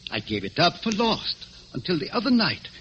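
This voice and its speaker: An actor playing an eager, evasive gentleman client in a 1940s radio drama, formal and hesitant in manner. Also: high-pitched, very animated, normal speed. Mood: earnest, uneasy. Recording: dull, noisy vintage radio recording.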